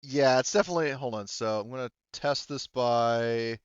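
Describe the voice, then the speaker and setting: A man's voice: medium pitch, normal speed, clean tone, no room sound, muffled recording. A man chatting casually and informally as game master of an online tabletop game, swearing freely. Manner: informal, profane.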